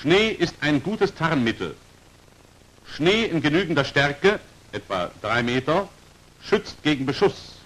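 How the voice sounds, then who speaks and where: A male narrator with a fairly high, animated voice delivers scripted 1940s instructional film commentary. His is formal and precisely articulated, with pitch that moves widely from phrase to phrase. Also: measured pace, rough recording. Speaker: narrator of a military training film.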